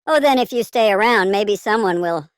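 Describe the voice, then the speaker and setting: A woman voicing a sweet, playful older neighbour in an audio story, chatty and affectionate with a creaky voice. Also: medium pitch, lively intonation, normal speed, dry recording, clean studio sound, natural voice. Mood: playful, mischievous. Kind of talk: scripted audio story character.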